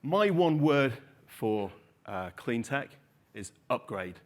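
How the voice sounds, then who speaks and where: A man, a company founder, introducing himself on a panel in a measured, hesitant way. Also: mid-range voice, very animated.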